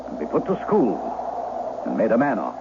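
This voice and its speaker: An actor playing a menacing, commanding duke in an old-time radio drama, with imperious, formal archaic speech. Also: male voice, lowish voice, huge pitch swings, normal speed. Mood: coolly bargaining. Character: menacing, commanding English duke intimidating a peasant boy. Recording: dull, noisy vintage radio broadcast.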